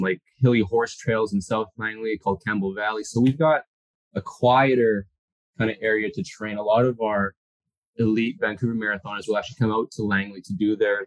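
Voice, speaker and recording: A man's voice: on the low side, no room sound, poor audio. A Canadian man speaking as a guest in a casual interview, easygoing and descriptive.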